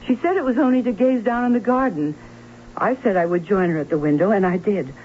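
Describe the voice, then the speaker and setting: An actress playing a fearful, anxious aunt in an old radio drama, speaking in a halting, dramatic way. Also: female voice, mid-range voice, lightly acted. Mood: grave recounting. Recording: muffled, hissy old radio broadcast.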